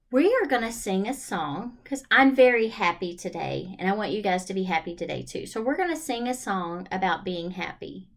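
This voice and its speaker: A woman leading a children's storytime, reading and chatting in a singsong way and putting questions to her young listeners. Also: mid-range voice. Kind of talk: children's storytime read-aloud and chat.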